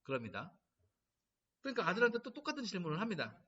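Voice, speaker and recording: Middle-aged man, laughter therapy lecturer, bright lively voice, distant lo-fi sound. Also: high voice, fast talker, slightly rough. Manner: lively storytelling, animated quoting. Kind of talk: lecture to caregivers. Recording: distant mic, dull lo-fi sound.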